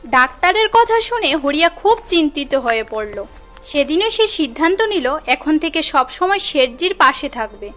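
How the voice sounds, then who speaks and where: A woman tells a cartoon story in a high voice. The delivery is scripted storybook narration, with soft music underneath. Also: sing-song, normal speed.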